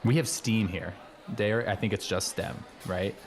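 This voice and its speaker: A man's voice: fairly low pitch, fairly even intonation, unhurried, faint music behind. A man playing a friendly, nosy teenage schoolboy in improvised comedy, talking in a casual, rambling, earnest way. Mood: chatty. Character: chatty, nosy, friendly teenage schoolboy showing newcomer around. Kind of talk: improvised comedy dialogue, naturalistic casual chat.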